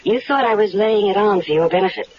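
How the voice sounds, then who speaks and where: A woman's voice: mid-range voice, fast talker, natural voice. A woman voicing a scheming, seductive wife who coaxes a man toward murdering her husband, in a 1970s radio drama. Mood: coaxing. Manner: coaxing, persuasive. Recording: dull, faintly hissy 1970s radio recording.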